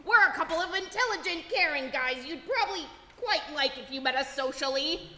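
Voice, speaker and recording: A woman playing a loud, self-righteous cop who insists on being sensitive, in a live comedy radio play. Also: high-pitched, animated, a little fast. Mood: blustering, earnest. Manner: loud, earnest, self-justifying, shouting across the room. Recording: echoey hall, live stage performance.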